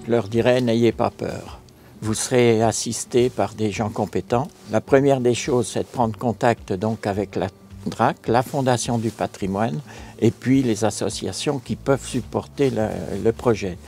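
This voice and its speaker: An older man from France, a local mayor, recounting a restoration project in a measured, formal way in an outdoor interview. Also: mid-range voice, warm tone, faint music behind. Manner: measured, formal, a few fillers. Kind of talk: interview answers, measured account. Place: outdoors on a stone chapel tower balcony.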